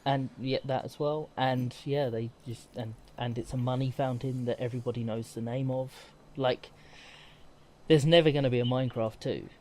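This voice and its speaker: A man's voice: mid-range voice, steady tone, moderate pace, mellow, a little hiss or hum. Rambling, emphatic man chatting casually. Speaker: podcast co-host. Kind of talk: casual chat about video games.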